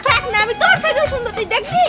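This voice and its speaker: Squeaky possessive young woman, pleading and bickering, Bangladeshi accent, cartoon, backing music. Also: animated, rapid-fire. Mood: boastful bickering. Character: possessive, boastful young woman, jealous in love. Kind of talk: colloquial Bengali comedy cartoon dialogue. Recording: music behind the voice.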